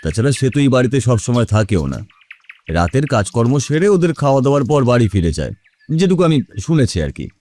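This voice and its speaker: A thoughtful detective voiced by a man works through his reasoning in an audio drama. His mellow voice moves with very lively intonation, deliberate and questioning. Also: mid-range voice, close to an everyday voice. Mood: pondering. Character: male detective thoughtfully reasoning out a murder case.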